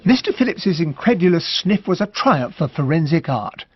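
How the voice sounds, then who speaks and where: An actor playing a gentlemanly English army captain, narrating his memoir in a measured, literate way for a radio drama. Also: fairly high pitch, big pitch swings, husky, no echo. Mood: measured, reflective. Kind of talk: scripted first-person radio drama narration. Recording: close, dry, slightly dull studio sound.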